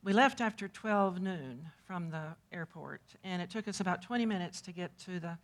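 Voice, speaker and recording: A woman's voice: fairly low pitch, normal speed. An older woman interview guest recounting her memories plainly, step by step.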